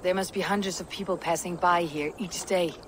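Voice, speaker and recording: Actress doing a tough viking woman for a game, low voice, blunt and businesslike, background noise. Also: steady tone, brisk, close to an everyday voice. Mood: grim resolve. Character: tough, guarded female Viking warrior, blunt and probing. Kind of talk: video game cutscene acting.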